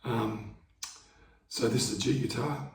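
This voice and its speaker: A man with a hoarse, fading voice, casually explaining how he plays guitar over backing tracks in a laid-back, rambling way. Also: mid-range voice, measured pace, recorded from a distance. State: hoarse, losing his voice. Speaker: market busker teaching guitar. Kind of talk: casual instructional talk. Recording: roomy sound, away from the mic, guitar playing behind.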